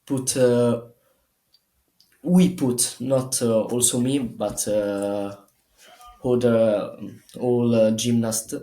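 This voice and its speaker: A young man with an Italian accent answers interview questions in careful, broken English. He talks slowly and stops often to find the next word. His voice is soft and mellow, and the room around him echoes. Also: mid-range voice. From Italy. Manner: halting, many fillers and restarts. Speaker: gymnast guest.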